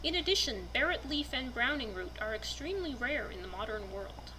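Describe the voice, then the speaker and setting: A woman giving a deliberate, storybook-like dramatic reading of a written fantasy text. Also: fairly high pitch, sing-song, background noise. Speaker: reader presenting a fantasy book.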